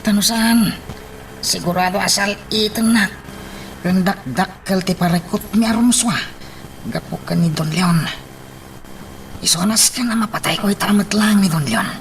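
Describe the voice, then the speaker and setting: A man's voice: sits high, moderate pace, long pauses. Furtive hired gunman, noisy background. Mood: intent, calculating. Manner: dramatic self-talk, muttered exclamations. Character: determined, furtive male hired gunman muttering his plans. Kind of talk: radio drama monologue. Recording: clear noisy ambience behind the voice.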